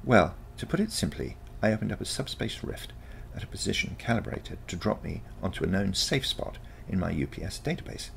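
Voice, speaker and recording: Man voicing a confident, gravelly-edged teenage granddaughter. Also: low voice, animated, fairly quick, hardly any pauses, slight background noise, pitched above the performer's own voice, heavily acted. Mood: unconcerned. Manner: matter-of-fact, unconcerned, explanatory. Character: calm, confident teenage granddaughter from a future world. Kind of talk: storybook character dialogue.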